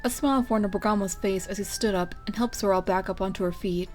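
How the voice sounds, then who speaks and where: A woman reads fan fiction as a channel host and narrator. Her voice sits at an average pitch for a woman. Faint music runs behind her words. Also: fast, a little mellow. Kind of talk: scripted story narration, casual sign-off.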